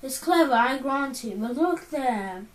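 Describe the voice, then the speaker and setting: An aloof teenage prodigy, read by a woman in a high, light voice, speaking in clipped, superior tones. The audiobook sounds roomy, with the mic set some distance away. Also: animated, unhurried. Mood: cool, superior. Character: cold, clever teenage boy genius, aloof.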